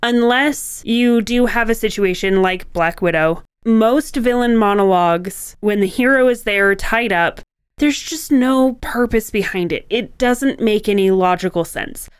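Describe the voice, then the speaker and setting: A woman with a clean, smooth voice of middling pitch, recorded very dry. She talks as a podcast co-host, explaining storytelling points conversationally. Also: a little slow, dry recording.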